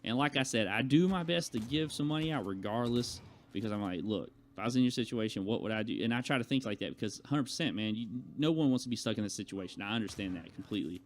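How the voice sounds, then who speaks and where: A man's voice: fairly low pitch, expressive, fast talker. Casual man rambling through personal stories. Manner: colloquial, conversational, acts out quoted exchanges. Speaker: YouTuber telling personal stories to viewers.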